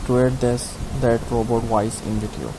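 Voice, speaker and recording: A man giving a step-by-step software tutorial in simple, halting instructions. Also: mid-range voice, fairly even intonation. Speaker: tutorial host. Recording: clear background hiss.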